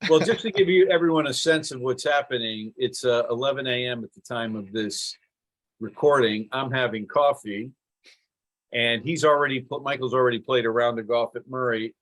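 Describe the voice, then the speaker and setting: A man hosting a casual podcast interview, relaxed and conversational as he asks questions. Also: mid-range voice, moderate pace. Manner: relaxed and conversational, with fillers and restarts. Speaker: podcast host.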